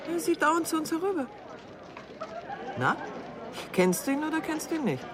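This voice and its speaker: An actress playing an observant, teasing middle-aged lover in a radio drama, with a probing, insistent manner. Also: female voice, high voice, sing-song, normal speed, background noise. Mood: suspicious. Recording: busy casino crowd behind. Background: casino crowd.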